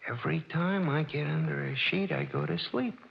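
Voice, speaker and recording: An actor playing a dim-witted, sleepy comic sidekick in a 1940s radio drama, with a slow, drawling delivery. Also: male voice, on the high side, wildly swooping pitch, vocal fry, husky, exaggerated voice. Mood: drowsy. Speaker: detective's sidekick. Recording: muffled 1940s radio broadcast with faint hiss.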